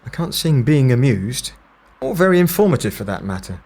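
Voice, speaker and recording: A man's voice: mid-range voice, big pitch swings, a little fast, a little mellow. A man playing a stern, dry, impatient investigator in a scripted audio drama, with clipped, sardonic delivery.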